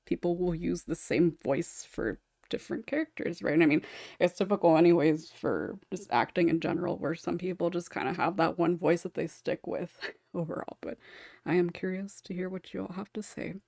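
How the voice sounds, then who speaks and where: A woman's voice: lowish voice, normal speed, gravelly, darkish tone, no room sound, clean studio sound. A woman hosting a casual panel discussion, talking in a chatty, rambling way with frequent small chuckles. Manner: rambling, chatty, often chuckling as she talks. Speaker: podcast host and script writer.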